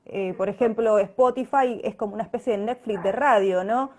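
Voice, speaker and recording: A woman's voice: mid-range voice, normal speed, darkish tone. Chatty Argentine woman in online interview. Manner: conversational, often adds tag questions. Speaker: interview guest, radio drama actress. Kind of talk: casual live online chat. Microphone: online video call.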